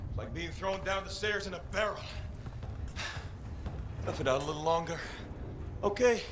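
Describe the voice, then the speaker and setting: A man's voice: high-pitched, moderate pace, noisy background, close to an everyday voice. A man playing a wry, loyal veteran comrade in a video game, blunt and colloquial. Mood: wry warning. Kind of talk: cinematic game acting. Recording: music behind.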